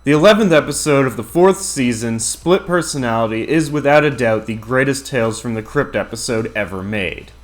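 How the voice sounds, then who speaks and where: A man's voice: medium pitch, lively intonation, a little fast, few pauses. Guy narrating a review countdown, swears a lot, jokey and opinionated, music behind him. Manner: crude, profane, jokey. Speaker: host of an entertainment countdown video.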